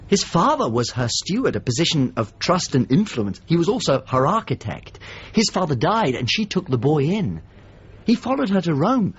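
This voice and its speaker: An actor playing a painter who wistfully recalls his lost master in a radio drama, speaking in intimate, measured, halting phrases. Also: a little high, big pitch swings, breathy edge, dull audio, full character voice. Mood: troubled, reflective. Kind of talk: radio drama monologue and dialogue.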